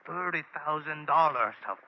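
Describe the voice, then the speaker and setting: An actor playing a greedy Mexican bandit chief in a 1940s radio drama, mock-polite and gloating, with a Mexican accent. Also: male voice, on the high side, moderate pace, gravelly. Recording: dull, noisy old radio recording.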